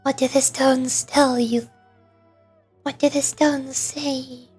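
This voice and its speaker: A woman voicing a small, excitable fairy-like girl companion from a game, eager and inquisitive. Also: sits high, slow, breathy edge, faint music behind, heavily acted. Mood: concerned, curious.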